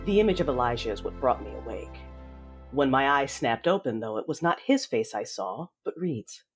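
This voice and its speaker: A woman playing a fierce, grieving warrior mother who narrates her own story in a measured, dramatic audio drama style. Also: rumbling low, big pitch swings. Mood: solemn, controlled. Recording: voice over a music bed.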